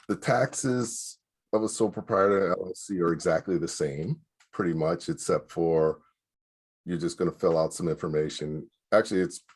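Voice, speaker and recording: A man with a very low, deep voice talks through practical business advice. His delivery is loose and unpolished, full of fillers and small restarts. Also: a little mellow, husky. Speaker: speaker advising small business owners. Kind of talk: casual advice talk.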